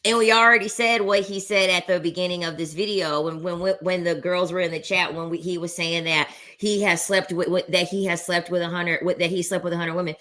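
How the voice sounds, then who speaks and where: Bright, blunt woman reacting to a clip. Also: fairly low pitch, steady tone, brisk, hardly any pauses. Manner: blunt and emphatic, with swearing and repeated phrases. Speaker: content creator reacting to a debate clip. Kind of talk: commentary on a played clip.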